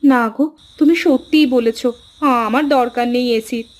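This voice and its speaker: Woman playing a high-voiced homely village wife. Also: steady tone, moderate pace, a little mellow. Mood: homely, earnest. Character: homely village wife and elder sister, caring, nudging. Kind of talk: cartoon dialogue acting.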